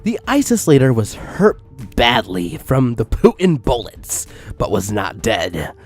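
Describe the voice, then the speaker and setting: A man's voice: medium pitch, huge pitch swings, unhurried, husky. A man reading a story aloud as comic narration, incredulous and breaking off for asides, with music behind him. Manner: incredulous, often breaking from the script. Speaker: fan fiction reader.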